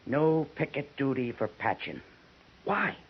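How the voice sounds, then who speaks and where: A firm, probing fort major. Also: male voice, medium pitch, big pitch swings, measured pace, vocal fry, a little hiss or hum. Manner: persistent, questioning. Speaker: army major. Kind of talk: 1950s radio drama acting. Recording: muffled 1950s radio broadcast.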